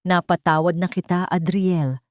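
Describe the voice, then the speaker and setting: A woman voicing a composed mother who speaks gently and firmly as she forgives. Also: fairly low pitch, steady tone, normal speed, no room sound, clean studio sound, natural voice. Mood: calm, forgiving. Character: calm, firm, forgiving mother and seamstress.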